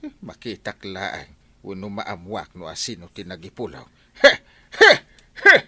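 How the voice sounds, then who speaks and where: An actor playing an excitable, blustering village captain with an Ilocano accent in a radio comedy, full of exclamations. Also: male voice, lowish voice, a little slow, exaggerated voice. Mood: indignant, blustering. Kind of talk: broad radio comedy acting.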